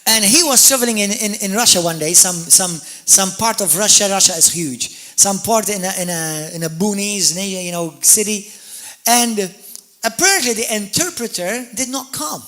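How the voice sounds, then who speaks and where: Bright high-voiced pastor preaching. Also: male voice, moderate pace, soft background music. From Malta. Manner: animated storyteller, repeats and restarts words, addresses the congregation directly. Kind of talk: sermon.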